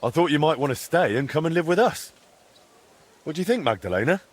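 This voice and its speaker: An actor playing a helpful young blacksmith in a dramatic game, speaking in an earnest, plain way. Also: male voice, a little high, big pitch swings, fairly quick. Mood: friendly, encouraging.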